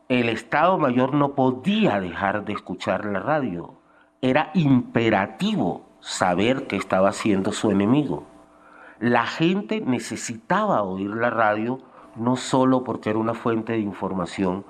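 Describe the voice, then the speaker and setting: Guy telling his story in an interview, lowish voice, super animated intonation. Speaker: interviewee, former guerrilla radio member. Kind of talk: informal interview recollection.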